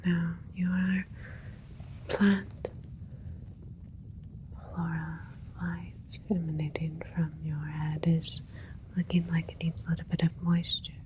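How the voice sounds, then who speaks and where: A woman playing a gentle alien scientist in a whispered ASMR sci-fi roleplay, explaining things in a soothing, clinical way. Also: on the low side, flat delivery, slow pace, pauses often, slight background noise, poor audio. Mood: caring, clinical. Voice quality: breathy whisper throughout. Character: gentle alien scientist doctor, proud of a hybrid creation.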